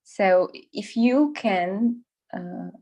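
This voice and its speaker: A woman's voice: medium pitch, drawn-out words, gravelly, dark tone, dull audio. Hesitant young woman learning English. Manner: hesitant, many fillers and restarts, learner's English. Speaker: language learner in a discussion group. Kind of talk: online casual chat.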